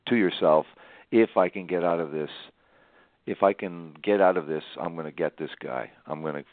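A man with a low voice hosts a conversational interview over a narrow, phone-like connection with little room sound. He recaps and prompts deliberately, repeating phrases to stress them. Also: no echo. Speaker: interviewer, host.

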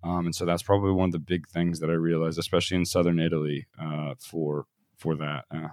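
A deep-voiced man talking as a podcast guest. He tells stories casually and laughs along as he goes. The recording is dry and close. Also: flat delivery, brisk, a little mellow, no room sound. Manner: talkative, laughs while telling stories.

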